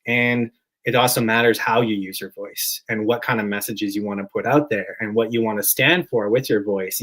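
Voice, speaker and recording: A man's voice: on the low side, fairly bright tone, poor audio. A man, a musician guest, talking earnestly in a casual interview over a video call, with lots of filler words.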